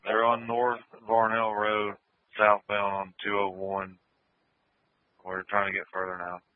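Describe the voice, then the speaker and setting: A man's voice: lowish voice, completely flat delivery, a little slow, no echo, narrow, tinny audio. A man working as a police radio dispatcher, giving terse procedural updates and repeating road names over a scanner. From United States. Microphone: radio dispatch microphone.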